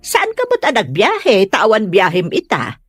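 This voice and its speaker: Babai a kas natangken ti ulona nga ina. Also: female voice, mid-range voice, huge pitch swings, a little slow, breathy edge, faint music behind, heavily acted. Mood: scolding, stubborn. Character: stubborn, grudge-holding mother of a grown son. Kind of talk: Ilocano comedy radio-style drama acting.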